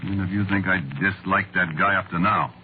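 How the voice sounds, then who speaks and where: An actor playing a tired, sardonic, wisecracking screenwriter in an old radio drama, with slangy, wry delivery. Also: male voice, low-pitched, flat delivery, a little fast, lightly acted. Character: weary, wisecracking male Hollywood screenwriter, harried and sardonic. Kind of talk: old-time radio drama acting and first-person narration. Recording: muffled, noisy vintage radio recording.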